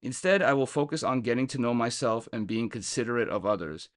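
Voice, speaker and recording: A man reads a scripted daily reflection for a podcast. His voice sits at an average male pitch. The recording is dry, with almost no room sound. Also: expressive, brisk, dry recording. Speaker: guest reader on a recovery podcast. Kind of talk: scripted reading of a daily meditation.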